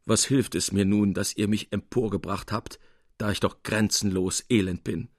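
Guy as a heartbroken young painter, low plaintive voice, storybook, a bit husky. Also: fairly even intonation, moderate pace, dry recording, clean studio sound. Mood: despairing. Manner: plaintive, grieving. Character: despairing lovesick young surgeon-painter.

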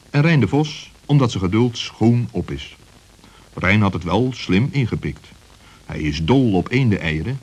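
A man tells a children's story from a script. His voice is husky and sits at a middle pitch. He moves it widely up and down for dramatic, expressive narration.

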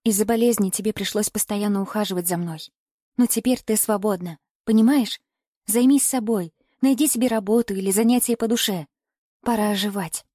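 An earnest young adult daughter from an audiobook, read by a woman. She pleads gently but stays resolute, with emphatic phrasing at a middle pitch in a dry, close recording. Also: fairly quick, no room sound, close to an everyday voice. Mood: tender pleading.

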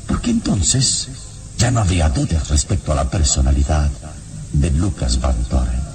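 An actor playing a calm, noble, wise adventurer hero in a radio serial, speaking solemnly with measured dramatic pauses. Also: male voice, rumbling low, a little hiss or hum. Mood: troubled. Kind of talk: vintage radio serial acting. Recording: old radio serial with loud music bed.

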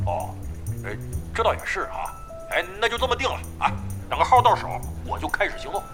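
Brash, high-pitched cocky gamer. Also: male voice, wildly swooping pitch, a little fast, over music, heavily acted. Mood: cocky, eager. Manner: swaggering, loud-mouthed, slangy. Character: brash, cocky, shameless male gamer buddy. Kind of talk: comic audio drama acting.

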